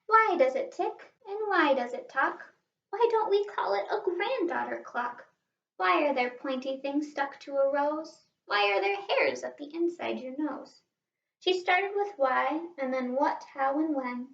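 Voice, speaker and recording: A woman reading a children's picture book aloud in an expressive, animated way, like a teacher stressing the rhymes. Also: high voice, measured pace, creaky. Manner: animated, asks listeners questions, stresses rhymes. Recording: roomy, slightly distant, dull sound.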